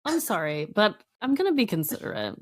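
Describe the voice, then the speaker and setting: A woman's voice: medium pitch, brisk. Female podcast co-host, mid-range voice, casual storyteller, self-mocking, laughs while talking, acts out quotes. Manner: animated retelling with acted-out quotes, self-deprecating. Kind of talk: casual chat, personal storytelling.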